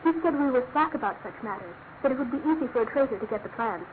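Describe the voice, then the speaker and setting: An actress playing an earnest, anguished young British fiancée with an emphatic, halting delivery in a classic radio drama. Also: female voice, fairly high pitch, expressive, lightly acted. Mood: subdued, earnest. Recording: noisy, narrow-band old radio recording.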